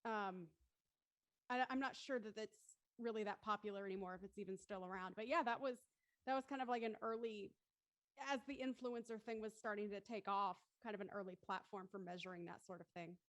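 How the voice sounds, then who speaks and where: A woman's voice: mid-range voice, steady tone, a little fast. A woman moderating a casual panel discussion, explaining things and putting questions to the group. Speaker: moderator of a post-show discussion.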